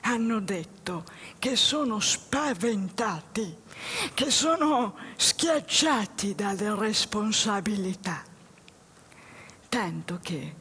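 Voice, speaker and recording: A woman playing a bewildered man who lost his job, in a halting theatrical monologue. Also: medium pitch, fairly bright tone, slight background noise. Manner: halting, repeats phrases. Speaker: stage actor. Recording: music behind the voice.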